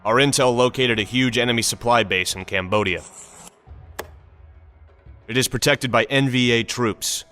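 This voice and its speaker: Military briefer, male actor as stern American officer: clipped, authoritative, sharp-edged delivery, music underneath. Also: medium pitch, normal speed, pauses often, natural voice. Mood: stern, businesslike. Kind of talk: scripted video game mission briefing.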